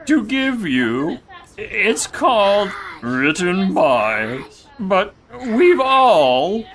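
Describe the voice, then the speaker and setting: Male actor as a self-important, grumbling donkey poet for children's audio play; dragging delivery, lively melodic swings. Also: high-pitched, full character voice. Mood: flustered, halting. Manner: ponderous, halting, grumbling. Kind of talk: children's audio drama acting.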